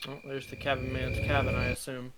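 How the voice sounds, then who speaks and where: A man's voice: medium pitch, monotone, brisk, heavy background noise. A man casually chatting and reacting to videos with other people.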